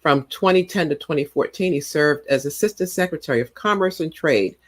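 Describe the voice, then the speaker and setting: A woman hosting a podcast, introducing and questioning her guest in casual chat with encouraging prompts and quick laughs. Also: deep voice. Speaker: podcast host.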